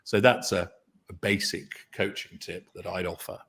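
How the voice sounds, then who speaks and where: Man w a low baritone, slow and deliberate, dry dark jokes. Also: fairly even intonation, husky. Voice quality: deep baritone voice. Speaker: guest commentator. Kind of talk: casual chat.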